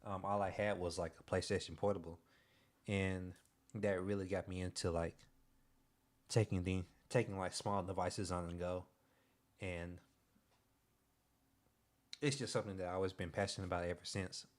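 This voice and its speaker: A young man streaming, chatting casually in a soft-spoken, hesitant way with many pauses and restarts. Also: low voice, level tone. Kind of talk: casual livestream chat.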